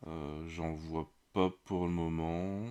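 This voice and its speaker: A man with a very deep voice talks his way through an unboxing, slow to find his words and full of fillers. A cold leaves his voice slightly congested. The recording carries clear background noise. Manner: relaxed, hesitant, many fillers. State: has a cold. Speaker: board game channel host. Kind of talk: casual unboxing commentary.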